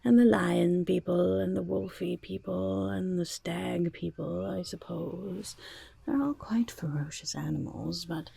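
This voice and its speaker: Woman playing a whispering, creaky-voiced goblin trader. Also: lowish voice, unhurried, dark tone, no room sound, heavily acted. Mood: hushed, showy. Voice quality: breathy whisper with creaky murmurs. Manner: sly, rambling, muttering salesperson, refers to self by name. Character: eccentric, shifty goblin market trader, chatty and boastful. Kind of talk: whispered ASMR roleplay.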